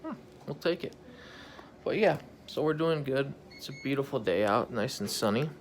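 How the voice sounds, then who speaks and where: A man in his thirties with a hoarse, croaky voice after intubation, speaking tiredly into a phone held at arm's length in a hospital room. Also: animated, moderate pace, slight background noise. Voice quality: gravelly, croaky. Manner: tired, low-key, relieved. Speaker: patient recording a video diary. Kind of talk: casual video journal.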